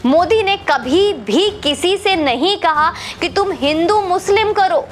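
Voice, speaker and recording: Female Indian political commentator, very high lively voice, challenging the viewer in a lecturing monologue with English mixed in. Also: measured pace, a little hiss or hum. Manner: combative, lecturing, rhetorical questions; mixes English words and phrases into the talk. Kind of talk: opinionated monologue addressed to the viewer.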